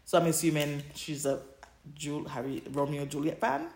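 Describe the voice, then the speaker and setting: A woman chatting casually as a podcast co-host, telling stories in an animated way. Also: sits low, normal speed, roomy sound. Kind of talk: casual chat about fan fiction.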